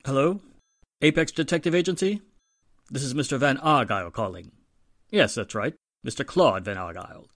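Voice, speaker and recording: Society patriarch for period radio drama, male actor: middle-aged, husky, varied intonation, formal, self-important. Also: medium pitch, animated, fairly bright tone, no echo, clean studio sound. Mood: courteous, formal. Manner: formal, polite, measured phone manner. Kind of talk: 1940s radio drama acting.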